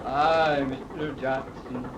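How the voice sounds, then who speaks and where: A man's voice: medium pitch, steady tone, natural voice. A man acting a stolid, laconic ship's skipper of few words in a 1930s radio serial. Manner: one-word replies, very slow and laconic. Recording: noisy old 1930s radio recording.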